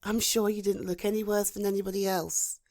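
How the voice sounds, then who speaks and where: A British woman playing a protective, supportive female friend in a conversational ASMR roleplay, soothing and encouraging, with thoughtful pauses. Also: mid-range voice, flat delivery, darkish tone, lightly acted. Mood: earnest, encouraging. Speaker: voice actor in an audio roleplay. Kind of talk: ASMR roleplay, conversational one-sided dialogue.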